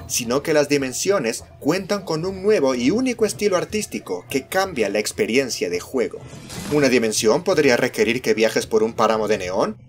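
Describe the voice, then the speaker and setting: Animated Latin American man narrating a trailer. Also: on the high side. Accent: Latin American Spanish. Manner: enthusiastic, promotional. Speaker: voice-over narrator of a fan dub. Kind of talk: scripted game trailer narration.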